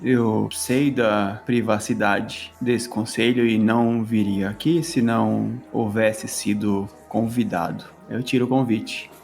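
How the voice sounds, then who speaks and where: A man's voice: lowish voice, steady tone, slow, vocal fry, few pauses, darkish tone, faint music behind. A man with a Brazilian accent playing a tabletop role-playing game, improvising casually as his character.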